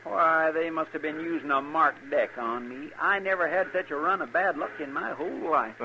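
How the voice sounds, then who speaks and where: A man's voice: on the high side, moderate pace, bright, forceful tone. An American actor playing a poor, earnest, folksy townsman in a 1950s western radio drama, with a plaintive drawl. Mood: plaintive grumbling. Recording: noisy, muffled vintage radio recording.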